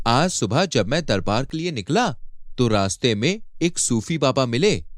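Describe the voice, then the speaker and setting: A man's voice: medium pitch, no echo, studio quality, voice pushed up. A man voicing a clever, respectful royal courtier in a storybook tale, with a courteous, persuasive manner. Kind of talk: animated Hindi storybook acting.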